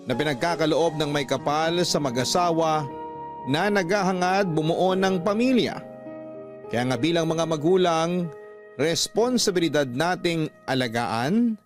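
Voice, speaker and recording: A Filipino man reading love stories as a radio host, in a calm, measured, sentimental scripted style. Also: a little high. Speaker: radio show host reading listeners' letters.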